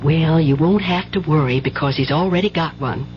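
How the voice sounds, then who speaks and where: A woman's voice: low voice, normal speed, natural voice. An actress playing a weary, loving working-class mother with plain, folksy speech in a radio drama. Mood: confiding. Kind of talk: 1970s radio drama acting. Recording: dull old radio broadcast with music.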